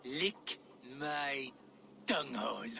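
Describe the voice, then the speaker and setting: Gravelly, slow-talking Viking captive. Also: male voice, mid-range voice, lively intonation, exaggerated voice. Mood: mocking defiance. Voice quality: gravelly, creaky. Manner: mocking, slow and insolent. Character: defiant, taunting captured Viking raider. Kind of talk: dramatic video game acting.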